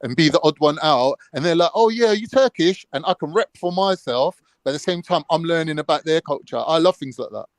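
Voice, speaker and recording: A man, his voice sitting a little high, argues hard on a live panel. He cuts in, fires back questions and repeats them until he gets an answer. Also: lively intonation, fairly quick, hardly any pauses, no room sound. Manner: argumentative, interrupts and repeats questions. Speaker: panel guest. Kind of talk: heated debate on a live call-in panel.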